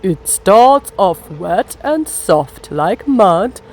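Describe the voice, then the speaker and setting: A woman's voice: mid-range voice, sing-song, extremely slow, slight background noise, heavily acted, rough recording. A woman voicing a cheerful father pig who teaches children in a storybook, instructive and encouraging. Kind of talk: children's storybook read-aloud.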